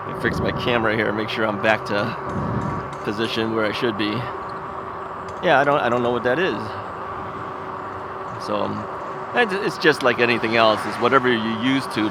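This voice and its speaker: A man vlogs while riding an ebike on suburban streets. He talks casually and rambles, chuckling often and thinking aloud into a camera mounted on the bike. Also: mid-range voice. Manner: rambling, chuckling, self-questioning. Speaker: vlogger. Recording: traffic and wind noise outdoors.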